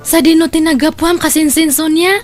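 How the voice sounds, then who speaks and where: A woman's voice: very high-pitched, steady tone, normal speed, soft background music. A woman with an Ilocano Filipino accent playing a friendly, supportive cousin in an audio drama, chatty and sympathetic.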